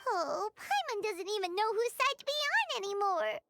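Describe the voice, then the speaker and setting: A woman voicing a tiny, outspoken fairy girl companion in a video game, with a squeaky, childlike, exclamatory delivery. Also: very animated, normal speed, very noisy, exaggerated voice. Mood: conflicted. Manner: speaks of self in third person, exclamatory.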